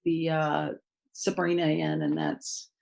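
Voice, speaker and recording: A woman's voice: low-pitched, flat delivery, slow pace, creaky, a little mellow. A woman who grew up in Thailand, a guest author chatting casually in an interview and laughing as she tells anecdotes.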